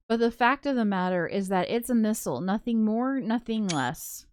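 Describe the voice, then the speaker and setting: A woman's voice: a little high, darkish tone, no echo, studio quality. A woman reading a visual novel aloud, slipping into casual, chatty commentary with giggly asides. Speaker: co-host of a gaming show.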